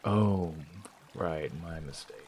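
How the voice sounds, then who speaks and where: A man voicing a sly traveling performer who teases and probes with smooth talk in an audio drama. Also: sits low, slow, a little mellow, a little hiss or hum. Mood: teasing, prying. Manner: teasing, probing, smooth-talking. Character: sly prying male traveling performer, teasing.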